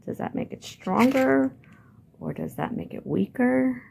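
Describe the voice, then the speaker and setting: A woman's voice: on the high side, animated, measured pace, gravelly, darkish tone, soft background music. A woman children's librarian leading a hands-on building lesson, asking the young viewers lots of encouraging questions. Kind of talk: hands-on craft lesson for kids.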